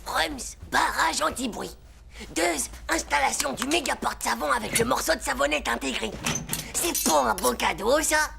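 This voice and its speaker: Une femme qui joue un écolier de dessin animé effronté et excité, à la voix râpeuse, au parler argotique, geignard et vantard. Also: female voice, high voice, normal speed, noisy background. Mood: proud. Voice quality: gravelly. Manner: slangy, whiny, boastful. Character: cheeky, excitable, scheming little schoolboy. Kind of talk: French animated cartoon acting.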